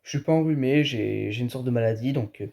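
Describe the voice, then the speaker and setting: Man, soft mellow tone, mid pitch, tired and slightly sick, casual vlogger chatting to viewers in slangy buddy talk. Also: fairly quick, creaky. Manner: colloquial, addresses viewers as buddies. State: under the weather with a flu-like illness, tired. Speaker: car video host. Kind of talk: casual opinion vlog to viewers.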